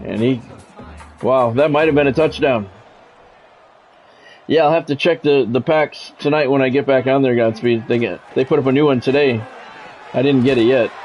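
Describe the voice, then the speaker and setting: A man's voice: medium pitch, normal speed, long pauses, darkish tone, soft background music. A man doing sports play-by-play of a video game on a stream, mixed with casual chat with viewers.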